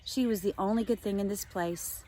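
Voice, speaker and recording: A woman's voice: medium pitch, normal speed, warm tone, slight background noise, low-quality recording. A woman teacher expressively reading a children's novel aloud, adding casual asides for her listeners.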